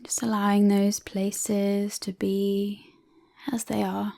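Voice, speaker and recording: A woman leading a guided relaxation, speaking softly and breathily, often near a whisper, in a calm, soothing way with long pauses. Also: medium pitch, gravelly.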